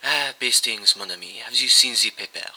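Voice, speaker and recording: A man voicing an excitable, fussy Belgian detective. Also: medium pitch, normal speed, slightly rough, rough recording. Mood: insistent. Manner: fussy, insistent.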